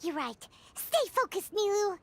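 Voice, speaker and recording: A tiny fairy sidekick for a game. The voice is piercingly high, squeaky and childlike, swooping wildly with excitable, loyal feeling. The audio has some noise. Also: female voice, unhurried, creaky, full character voice. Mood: anxious, supportive.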